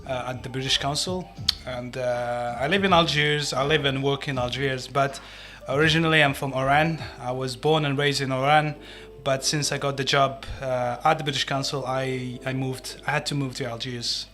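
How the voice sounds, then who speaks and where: A man's voice: medium pitch, steady tone, moderate pace, bright, forceful tone, soft background music. A man with an Algerian accent answering interview questions in a conversational way, like a teacher explaining himself. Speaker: English teacher, podcast guest.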